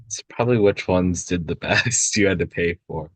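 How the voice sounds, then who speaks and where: A man's voice: deep voice, moderate pace, a bit bright, husky, no room sound. A man chatting casually about films and scripts as a participant in a table read.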